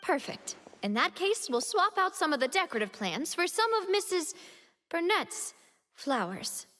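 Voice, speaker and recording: An actress playing a confident young noblewoman leader, earnest and expressive. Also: extremely high pitch, normal speed, big-room sound, exaggerated voice. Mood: cheerful.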